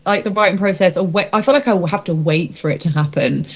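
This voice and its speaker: A woman's voice: fairly low pitch, quick pace, lo-fi sound. A woman singer-songwriter chatting casually in an interview, candid and hesitant as she thinks aloud.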